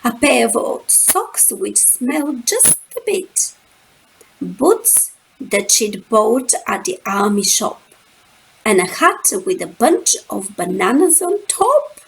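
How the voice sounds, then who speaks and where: A woman reading a rhyming children's story aloud, expressively and rhythmically, with dramatic pauses and drawn-out words. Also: on the high side, sing-song, creaky, rough recording.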